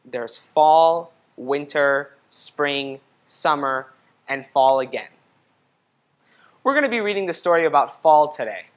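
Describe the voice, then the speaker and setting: A young man reads a picture book aloud for children. He speaks in an instructive storytelling way, pausing to address the young listener directly. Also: on the high side, animated. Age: young adult. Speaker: storybook reader. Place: indoor studio room. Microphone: lapel mic.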